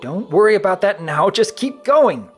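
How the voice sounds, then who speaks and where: Man putting on an anxious, high-voiced little wolf. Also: very animated, normal speed, husky, faint music behind, heavily acted. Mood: urgent. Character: anxious, urgent little male wolf companion. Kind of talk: dramatic fan-fiction audiobook reading.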